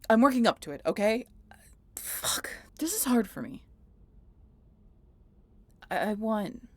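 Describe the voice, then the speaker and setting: A woman playing a guarded, sardonic century-old vampire in an intimate audio roleplay, halting and casually profane as she talks to the listener. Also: on the high side, very animated, no echo, full character voice. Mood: awkward, reluctant.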